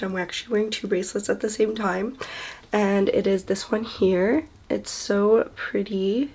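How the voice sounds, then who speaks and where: A woman beauty vlogger chatting casually to her viewers while recovering from the flu, her voice hoarse, congested and quieter than usual, with low energy. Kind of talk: casual sponsored vlog chat.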